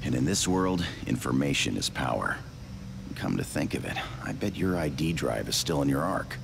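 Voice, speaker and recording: Game role, rebel captain: determined, gruff authority, bottomless gravelly bass with heavy fry. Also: male voice, very animated, normal speed, background noise, heavily acted. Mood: grave, grateful. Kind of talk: video game cutscene acting.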